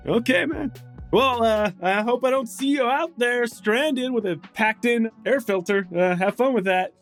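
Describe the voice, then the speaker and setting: A man voicing an eager, scruffy car-wash hustler with halting, wheedling sales patter in a tabletop game. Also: very high voice, a bit bright, faint music behind, pitched above the performer's own voice. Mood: wheedling, needling.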